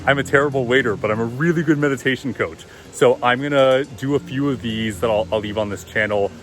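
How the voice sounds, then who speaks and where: A man with a deep voice giving relaxed, encouraging meditation advice in a casual vlog. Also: faint music behind. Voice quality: deep, low voice. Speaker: vlogger and meditation coach. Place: outdoors at a loud waterfall. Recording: outdoor recording with loud waterfall noise. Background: rushing waterfall.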